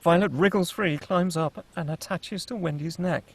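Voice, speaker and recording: A man's voice: sits high, normal speed. A man reading scripted stage directions as the narrator of a screenplay table read.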